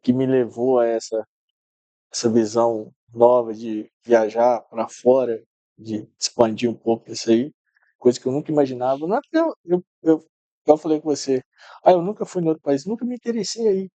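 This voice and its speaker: A man's voice: mid-range voice, normal speed, mellow, dry recording. A middle-aged man, a podcast guest telling stories in casual chat, often restarting and repeating words. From Minas Gerais, Brazil.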